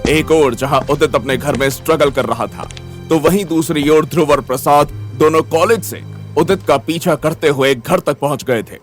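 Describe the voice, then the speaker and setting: A man's voice: on the high side, normal speed, faint music behind. A man narrating a scripted Hindi audio story in a dramatic style.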